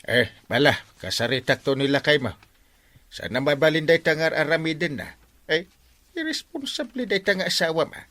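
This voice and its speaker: A man's voice: fairly high pitch, expressive, normal speed, sharp tone. A man with an Ilocano accent playing a fussy village captain who scolds and advises in a radio comedy drama. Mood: concerned, scolding. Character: male village captain, fussy husband, scolding but helpful.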